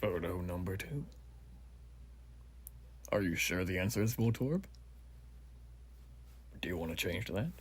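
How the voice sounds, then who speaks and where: A man's voice: deep voice, animated, a little slow, long pauses, a little hiss or hum. A man playing an earnest, proud revolutionary army officer in a roleplay, speaking to the listener in a formal, rambling, stately way. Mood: measured, instructing. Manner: formal, rambling, theatrically stately, hushed asides. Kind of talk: roleplay voice acting addressed to the listener.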